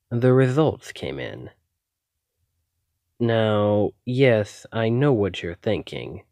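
Male vampire, played by a man, ASMR roleplay: lowish voice, theatrical and polite with obsessive interest, very dry close sound. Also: a little mellow, dry recording, heavily acted. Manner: polite, coy, measured, theatrical. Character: obsessive, courteous male vampire posing as lab technician. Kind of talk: ASMR roleplay addressed to the listener.

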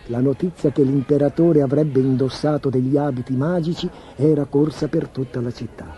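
A man narrating a scripted fairy tale for children as a storyteller. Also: a little high. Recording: dull, muffled lo-fi track. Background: music.

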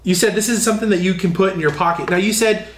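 Higher-voiced man hosting in a roomy workshop. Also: quick pace, fairly bright tone. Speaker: interviewer, host. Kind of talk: casual product interview. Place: indoor workshop with hard walls. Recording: roomy echo, faint background noise, clicks.

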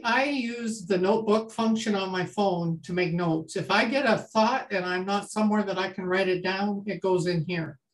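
A woman's voice: medium pitch, low-quality recording. A woman, leading an informal workshop over a video call. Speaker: workshop leader. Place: small home room, indoors. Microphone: video call computer mic.

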